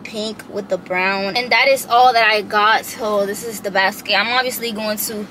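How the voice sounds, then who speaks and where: A woman's voice: mid-range voice, steady tone, moderate pace, pushed and bright, a little hiss or hum, rough recording. A woman in her twenties, sitting in a parked car and filming a casual, rambling haul vlog, full of "like" fillers, into a camera on her dashboard. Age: 20s. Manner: chatty, rambling with many "like" fillers. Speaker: vlogger.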